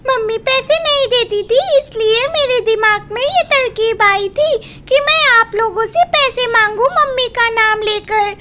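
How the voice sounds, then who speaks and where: A woman's voice: very high-pitched, measured pace, few pauses, pushed and bright, voice pushed up, full character voice. A woman voicing a mischievous young boy bird in a cartoon story, who talks in a pleading, whiny way. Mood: tearful.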